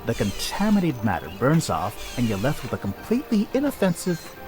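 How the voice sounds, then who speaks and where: A boastful, flamboyant male game hero with a hugely animated delivery. He is theatrical and pleased with himself, in a middle register, with music playing underneath. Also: medium pitch, a little fast. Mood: persuasive, showy. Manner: theatrical, teasing, self-satisfied. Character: flamboyant, boastful young male adventurer and smith. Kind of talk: video game cutscene acting. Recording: music behind the voice.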